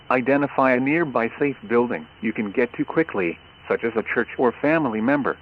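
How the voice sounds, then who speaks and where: A synthetic man's voice reads out a weather radio bulletin in a steady, measured, instructional manner. It sits at an ordinary middle pitch for a man. The sound is squeezed thin, like a phone line, with a faint hiss underneath. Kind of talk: automated weather broadcast.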